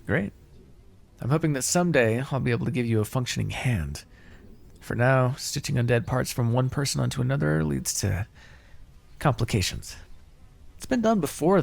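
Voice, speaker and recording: A man playing a kindly, encouraging necromancer in an ASMR fantasy roleplay, speaking in a gentle, reassuring, conversational way. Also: medium pitch, creaky. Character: kindly, encouraging necromancer master, earnest and casual.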